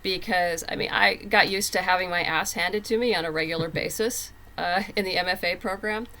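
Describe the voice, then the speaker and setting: A woman's voice: on the low side, a little fast, bright, forceful tone. A woman speaking as a writer guest in a casual interview, candid, emphatic and plain-spoken.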